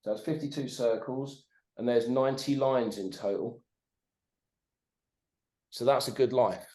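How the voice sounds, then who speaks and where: Sweary animated man in his forties. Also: mid-range voice, unhurried. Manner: sweary, animated, keeps tagging "right?". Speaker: podcast guest. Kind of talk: casual conversation.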